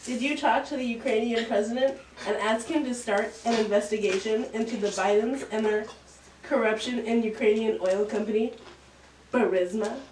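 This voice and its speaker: A woman's voice: fairly high pitch, fairly even intonation, moderate pace, darkish tone, natural voice. A woman playing a male lawyer in an amateur satire, the president's eager, scheming crony. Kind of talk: amateur student satire acting. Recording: echoey room, distant mic, noise.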